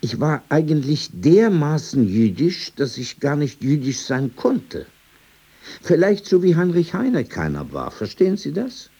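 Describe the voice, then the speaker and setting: Radio play: old ex-editor, mellow and rough-edged, wry, dismissive, opinionated storyteller. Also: male voice, a little high, wildly swooping pitch, unhurried, heavily acted. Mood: ironic reminiscing. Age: older. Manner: sardonic, digressive, dismissive. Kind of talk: radio drama dialogue.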